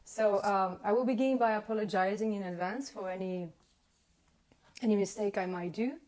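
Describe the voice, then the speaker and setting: A woman from Brazil with a Brazilian accent, hosting a live online conversation in halting, earnest speech, searching for her words. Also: medium pitch, darkish tone. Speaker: host. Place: home in Spain. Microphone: video call.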